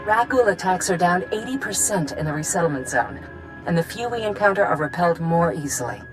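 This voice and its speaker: A determined woman governor voiced by an actress with a fairly low voice. She speaks in formal, rousing game-cutscene style, over clear background music. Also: expressive, fairly quick, lightly acted, rough recording. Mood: gracious, hopeful. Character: determined woman colonial governor rallying a hero. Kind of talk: video game cutscene acting.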